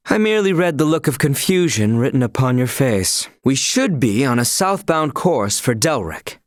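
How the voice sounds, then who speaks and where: Formal, expressive courtly attendant. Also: male voice, fairly high pitch, dry recording, clean studio sound. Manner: formal, archaic, courtly diction. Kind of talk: video game character voice lines.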